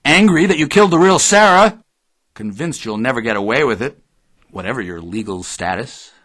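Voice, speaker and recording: An actor plays a male radio reporter in an audio drama. He is halting and placating but mildly defiant, with a mid-range voice that moves up and down a lot. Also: mid-range voice, animated, fairly bright tone. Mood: suspicious, defiant. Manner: halting, tentative.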